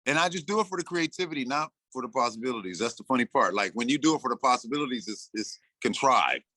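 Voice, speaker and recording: A man in his sixties, an interview guest, telling stories in a loose, animated way and acting out his own lines. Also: medium pitch, fast, no echo. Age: 60s. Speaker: interview guest, rapper and producer. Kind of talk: casual interview talk.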